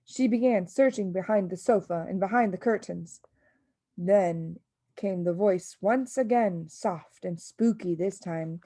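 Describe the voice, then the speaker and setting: A woman reading a children's story as an expressive audiobook narrator. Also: mid-range voice, a little slow, dry recording. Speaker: audiobook reader.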